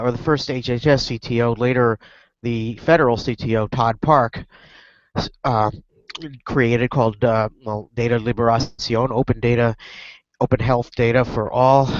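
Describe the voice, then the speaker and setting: A man's voice: medium pitch, dry recording. A man reporting in a casual web broadcast, his voice stuffy from a cold. He rambles with fillers and restarts. The audio is muffled and lo-fi, with a dry sound. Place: airport lounge.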